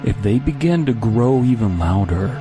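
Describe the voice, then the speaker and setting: A man reading a scripted horror story in a measured, solemn, deliberate way. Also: on the low side, lively intonation. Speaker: story narrator. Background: loud music.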